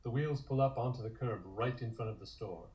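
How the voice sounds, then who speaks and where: A man's voice: medium pitch, brisk, darkish tone. A man narrating scripted scenes in the present tense for a comic table read.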